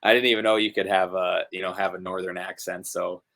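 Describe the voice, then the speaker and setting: A young man with a Northern US accent, a hockey player chatting casually on a video call, relaxed and rambling with many fillers. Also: fairly quick. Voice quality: gravelly low voice. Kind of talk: casual interview chat. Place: home room, video call.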